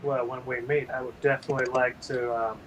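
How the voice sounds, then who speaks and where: A man's voice: medium pitch, flat delivery, fairly quick, fairly bright tone, a little hiss or hum. A man hosting a casual painting livestream, rambling and sleepy with lots of ums.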